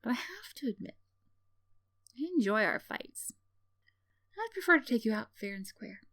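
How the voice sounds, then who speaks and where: A woman's voice: a little high, big pitch swings, moderate pace, fairly bright tone, no echo, clean studio sound, heavily acted. A woman playing a sardonic tsundere villain in a roleplay ASMR, teasing and condescending with theatrical sighs and scoffs, yet secretly caring. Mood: grudgingly fond.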